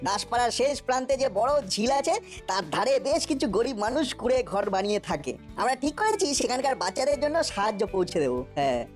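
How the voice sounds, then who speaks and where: Eager, quick high-voiced cartoon schoolboy by a woman. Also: sing-song, a little mellow. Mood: confident, eager. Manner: eager, persuasive. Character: eager, clever schoolboy, confident and persuasive. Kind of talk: cartoon voice acting. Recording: music behind the voice. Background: cartoon background music.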